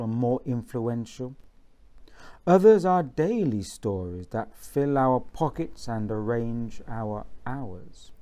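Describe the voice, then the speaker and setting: Slow, mellow man reading aloud. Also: medium pitch. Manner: deliberate, with long pauses between phrases. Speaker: author reading from own book. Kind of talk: measured book reading, bedtime-story narration.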